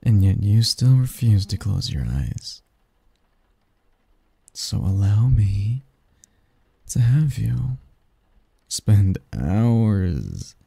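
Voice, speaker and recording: A man voicing a seductive, doting sleep demon lover in an intimate ASMR roleplay, breathy and coaxing, close to the mic. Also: lowish voice, big pitch swings, dark tone, no echo, heavily acted. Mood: tender, pleading. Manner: slow, coaxing, teasing, murmured. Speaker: roleplay audio performer. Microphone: close, dry microphone.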